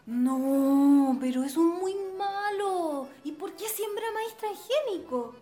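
A young Chilean woman of a landed family played by an actress in radio theatre. She speaks in a high voice, earnest, idealistic and direct, with soft music behind her. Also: lively intonation, slow, heavily acted. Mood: curious, dismayed. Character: earnest, idealistic young daughter of a rural landowner. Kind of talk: radio drama acting.

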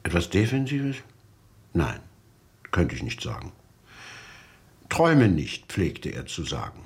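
A philosophical man in a radio drama, reasoning aloud with care and emphasis. His voice sits low, yet moves in a lively, varied melody as he explains his view. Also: takes it slowly, heavily acted. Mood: considered. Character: articulate, philosophical man explaining a departed acquaintance. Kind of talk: radio drama played as an interview.

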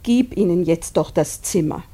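An actress playing a fretful, worried older mother and wife in a German radio drama, speaking in a hushed, anxious way. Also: lowish voice, expressive, normal speed, breathy edge. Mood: fearful, agitated.

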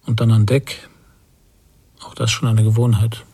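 A brooding emigrant father in a literary radio play. His voice is very husky with a gravelly creak, musing in short, verbless fragments with a melancholy temper. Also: fairly low pitch, flat delivery, mellow. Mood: weary, reflective. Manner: slow-building, brooding reflection in verbless fragments. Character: melancholy post-war emigrant father on a ship's deck. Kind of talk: literary radio drama monologue.

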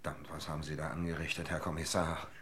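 A man's voice: very deep voice, flat delivery, fast talker, fairly bright tone, a little hiss or hum. An actor playing an indignant, defensive businessman under suspicion in a radio crime drama, irritable and blustering. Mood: irritated.

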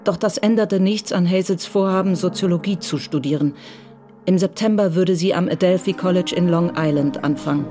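A woman narrates a crime radio drama in a calm, measured style. Her voice sits a little low, and music plays under the studio recording.

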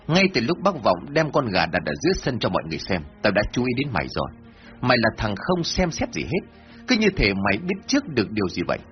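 Casting: man playing a harsh bullying village accuser, shouting and lively, lo-fi. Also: mid-range voice, quick pace. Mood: accusing. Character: gruff, hot-tempered male villager accuser. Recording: dull lo-fi with faint music.

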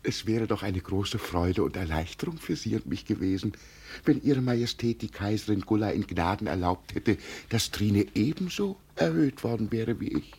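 An actor playing a gentle, deluded old peasant who believes he is an emperor, solemn and dreamy in a 1950 radio play. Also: lowish voice, expressive, moderate pace, gravelly, breathy edge, full character voice. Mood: earnest, wistful. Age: older. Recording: vintage 1950 radio recording.

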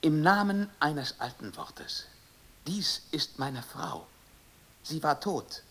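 Earnest frogman, lively intonation. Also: fairly high pitch, slow, gravelly, slightly rough, full character voice. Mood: earnest, pleading. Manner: earnest, declamatory. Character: earnest frogman from a morally superior future society. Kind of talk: German radio play acting.

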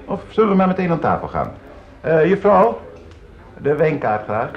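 A man's voice: on the high side, big pitch swings, normal speed. Swooping, domineering older father. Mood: brisk, commanding. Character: domineering, hearty older father, a well-off jurist. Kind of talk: radio drama acting. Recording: dull lo-fi radio play recording.

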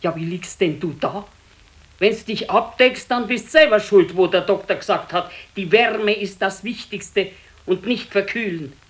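An actress playing an ageing, plain-spoken wife in a naturalistic radio play, with a Bavarian accent and a homely, matter-of-fact manner. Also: female voice, medium pitch, measured pace, slightly rough. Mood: nagging, scolding. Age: older. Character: plain-spoken ageing wife, former servant girl, nagging. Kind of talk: naturalistic radio drama acting.

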